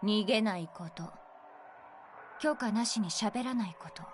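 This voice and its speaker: A woman's voice: mid-range voice, fairly quick, background noise, lightly acted. A woman voicing a taunting young kidnapper in a visual novel game, curt, mocking and coldly commanding.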